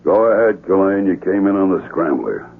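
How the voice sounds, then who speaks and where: Gravelly, deep, calculating male spymaster. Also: expressive, normal speed, exaggerated voice. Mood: cool, commanding. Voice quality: gravelly, husky. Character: commanding, calculating spymaster giving orders from afar. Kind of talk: 1970s radio drama acting. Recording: thin, phone-like vintage radio sound.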